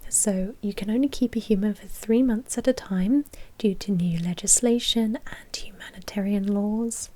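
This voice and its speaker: A woman's voice: medium pitch, moderate pace, mellow, close to an everyday voice. A woman playing a polite, businesslike vampire blood bank manager in a whispered ASMR roleplay, explaining procedures in a helpful, officious way.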